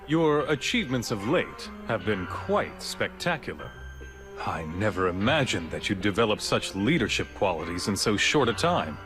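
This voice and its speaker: A commanding director from a video game speaks in a low voice that moves with deliberate swells. He is composed, formal and courteous, choosing words with measured authority. Clear background music plays under him. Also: very animated.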